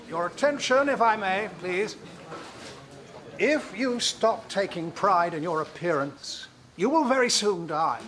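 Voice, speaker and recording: An actor playing a dignified, fastidious English officer in a radio drama, speaking with polite, measured formality. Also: male voice, sits high, fairly bright tone, a little hiss or hum, heavily acted.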